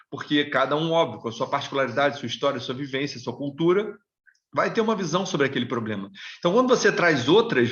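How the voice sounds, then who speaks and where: A Brazilian man speaking earnestly at a panel over a video call. His pitch sits slightly high for a man. The audio sounds dull and lo-fi. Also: a bit bright. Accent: Brazilian Portuguese. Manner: earnest, repeats small words when starting a thought. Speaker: panelist from an immigrant support association.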